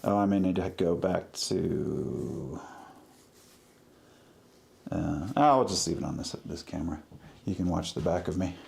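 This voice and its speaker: A man narrating his work casually as he goes, step by step, in short, halting phrases. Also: low-pitched, lively intonation, creaky, darkish tone. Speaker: livestreaming audio producer.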